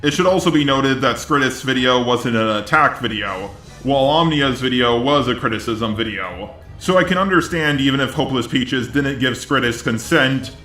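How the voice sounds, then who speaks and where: A man reads scripted commentary in a measured, conciliatory manner. His voice sits at an average male pitch and sounds roomy and echoing, with faint music underneath. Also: pushed and bright. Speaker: YouTube commentator.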